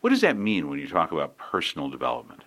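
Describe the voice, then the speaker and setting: A man's voice: rumbling low, huge pitch swings, fast, a bit bright. A man hosting a business radio show, interviewing guests in a friendly, chatty way. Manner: friendly, chatty questioning with some repeated starts. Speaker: radio talk show host.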